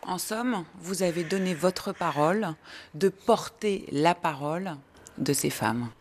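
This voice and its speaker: A woman hosting a radio segment, reading a scripted introduction and asking questions in a composed, measured way. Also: on the low side, slight background noise. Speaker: radio host. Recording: broadcast voice with light music underneath.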